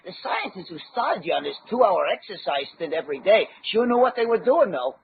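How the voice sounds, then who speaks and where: An actor playing a scrappy, good-humoured adventurer sidekick with slangy, boyish, exclamatory talk in an old-time radio serial. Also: male voice, high-pitched, sing-song, a little fast, vocal fry, full character voice. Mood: chatty, eager. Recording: tinny narrow-band radio recording with hiss.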